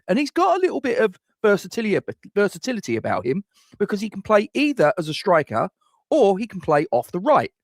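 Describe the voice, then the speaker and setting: A man's voice: high voice, dry recording, clean studio sound. A middle-aged man hosts a football fan channel, giving scripted transfer rumour commentary with wry, self-mocking jokes.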